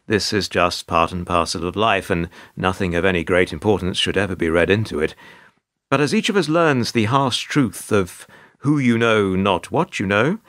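Male actor as put-upon hotel receptionist for radio drama: resigned, wordy, lively intonation, dry close sound. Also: low voice, moderate pace, no room sound. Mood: resigned, wry. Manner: wry, resigned, long-winded and formal. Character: world-weary, put-upon male hotel receptionist narrating his troubles. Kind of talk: radio play monologue and dialogue.

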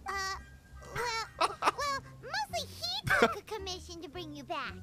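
A woman voicing a tiny, cheeky, bossy fairy girl in a video game, in a shrill, babyish cartoon voice. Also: very animated, a little slow, bright, forceful tone, exaggerated voice. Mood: indignant. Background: game music.

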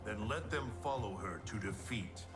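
A man's voice: lowish voice, animated, normal speed, heavy background noise, close to an everyday voice. A man playing a solemn, commanding thunder god in a video game, speaking with grave authority. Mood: grave, judging. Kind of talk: video game cutscene acting. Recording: loud music behind.

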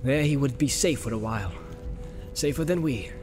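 A man's voice: mid-range voice, normal speed, darkish tone, natural voice. A valiant male warrior leader, acted out by a man reading an audiobook speaks in grave, archaic phrases. He is weary yet steadfast. Music runs behind his words. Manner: grave, formal archaic speech.

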